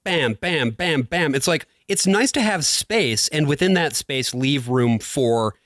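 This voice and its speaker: A man's voice: a little high, animated, unhurried, fairly bright tone, dry recording, clean studio sound. A man co-hosting a podcast in casual, conversational discussion of media. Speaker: podcast co-host.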